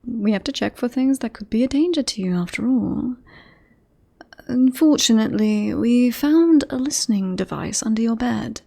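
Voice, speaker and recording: Woman acting a mellow, devoted yandere bodyguard. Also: on the high side, lively intonation, normal speed, vocal fry. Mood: flustered, covering up. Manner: polite, reassuring, professional patter with awkward slips into hushed intimacy. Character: devoted yandere bodyguard woman, composed front over creepy obsession. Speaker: voice actor in ASMR roleplay. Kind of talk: ASMR roleplay, scripted.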